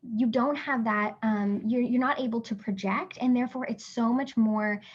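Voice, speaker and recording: A woman's voice: on the high side, normal speed, dull audio. A woman from Canada hosting a live business webinar, chatty and encouraging, checking in often with her listeners while losing her voice. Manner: chatty and encouraging, many fillers and "right?" checks. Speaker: webinar host and business coach.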